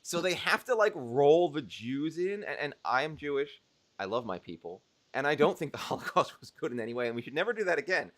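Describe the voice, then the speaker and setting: A man co-hosting a casual mahjong stream, talking in an animated, joking way and often laughing through his opinions. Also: fairly high pitch, fairly quick.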